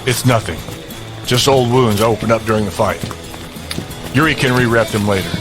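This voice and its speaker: An actor playing a cocky, brutal fighter boss in an audio drama, curt, smug and domineering. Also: male voice, fairly low pitch, moderate pace, close to an everyday voice. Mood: cocky, in command. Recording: music behind. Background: music.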